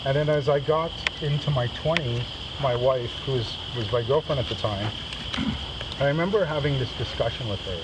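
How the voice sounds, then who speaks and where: A man from Trinidad shares a personal testimony with a group in an informal, conversational manner, checking in with listeners as he goes. His voice sits at a medium pitch. It is picked up from a distance outdoors, under very loud insect and ambient noise. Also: normal speed. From Trinidad, moved to Canada as a child. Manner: conversational, often checks in with "right?" and "okay?". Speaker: speaker giving a personal testimony. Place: outdoors at a men's canoe trip gathering.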